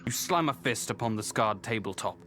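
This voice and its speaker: A man performing solemn, deliberate second-person narration for a dark fantasy visual novel. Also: fairly low pitch, animated, soft background music. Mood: measured. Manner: deliberate, literary.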